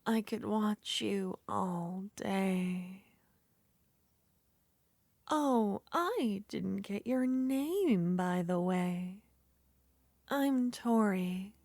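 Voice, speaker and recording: A woman voicing a lonely, possessive young witch in an ASMR roleplay, soft-spoken and slipping into whispers as she coaxes and flatters. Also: mid-range voice, extremely slow, long pauses, dry recording. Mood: coaxing.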